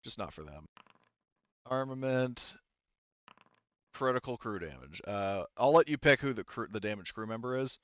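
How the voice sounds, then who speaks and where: A man's voice: medium pitch, animated, normal speed, long pauses, fairly bright tone, no room sound, muffled recording. A man acting as game master, casually thinking aloud as he narrates dice rolls and rulings.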